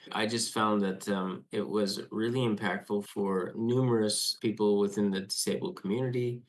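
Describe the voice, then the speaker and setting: A man speaks as an interview guest in a conversational way. His voice is on the low side and has a clear gravelly creak. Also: poor audio. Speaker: podcast guest, playwright.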